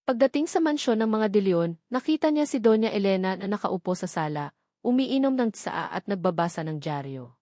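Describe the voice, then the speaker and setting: A woman's voice: mid-range voice, expressive, fairly quick, mellow, no echo. Woman narrating a dramatic story, close and dry.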